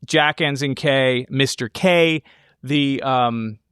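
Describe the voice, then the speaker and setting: A man co-hosting a casual film discussion, explaining his ideas in a halting way as he thinks aloud. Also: medium pitch, a bit bright, clear, resonant tone, no echo, studio quality. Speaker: podcast co-host.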